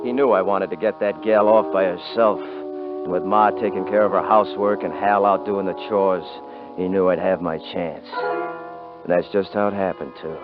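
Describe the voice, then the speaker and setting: An actor playing a hard, cocky young cowboy rancher, blunt and mocking, on an old radio broadcast. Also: male voice, low-pitched, fairly even intonation, moderate pace, natural voice. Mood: wry narrating. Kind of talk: 1950s radio drama acting. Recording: tinny 1950s radio broadcast with music.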